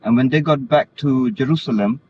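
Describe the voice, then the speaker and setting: Man, from Denmark, earnest, hesitant, restarts sentences, middle pitch, slightly muffled recording. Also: fairly quick, a little mellow. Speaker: interviewee. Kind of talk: casual conversation. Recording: slightly dull recording with faint noise.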